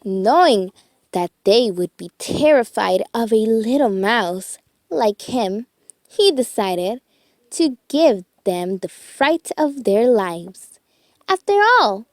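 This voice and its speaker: Animated woman reading a storybook, slowly. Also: fairly high pitch, dry recording. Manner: deliberate phrasing with dramatic emphasis. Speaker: children's story reader. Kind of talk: expressive read-aloud storybook narration.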